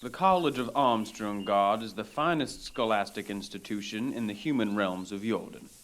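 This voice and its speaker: An actor playing a prim, formal elf guide who speaks in lofty diction. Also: male voice, fairly low pitch, normal speed, a bit bright, a little hiss or hum, natural voice. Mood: haughty. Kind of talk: audio drama acting.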